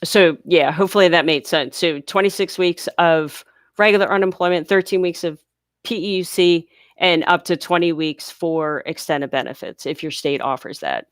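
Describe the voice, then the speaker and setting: A chatty woman runs a live question-and-answer stream, her voice on the lower side and congested. She speaks with a smooth, clean tone, close and dry with no room sound. She explains things patiently, step by step. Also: normal speed, dry recording. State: congested from sinuses. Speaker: livestream host answering viewer questions. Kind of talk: casual live Q&A. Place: home near a large US city.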